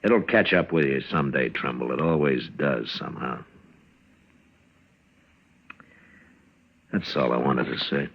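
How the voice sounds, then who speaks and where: An actor playing a stern, terse frontier marshal in a 1950s radio western. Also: male voice, extremely low pitch, a little fast. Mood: grim warning. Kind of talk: 1950s radio drama acting. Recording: dull vintage radio recording.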